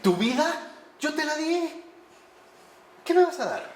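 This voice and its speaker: Animated man lecturing in an echoey room. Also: very high-pitched, slow, slight background noise. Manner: animated, rhetorical questions to the audience. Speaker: priest teaching a class. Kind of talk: conversational lecture on faith. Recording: echoey room with faint ambience.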